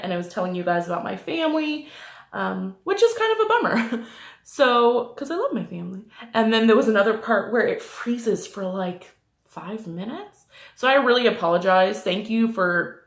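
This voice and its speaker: A woman vlogger with a slight cold, sounding a bit snuffly, chatting casually through a makeup review and rambling with lots of ums and likes. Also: medium pitch. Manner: rambling, many ums and likes, self-correcting. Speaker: beauty vlogger. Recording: roomy echo.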